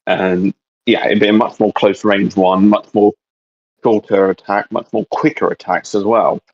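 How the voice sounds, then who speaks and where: A man's voice: on the low side, level tone, normal speed. A man co-hosting a casual chat, heard through slightly dull, lo-fi audio. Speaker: co-host.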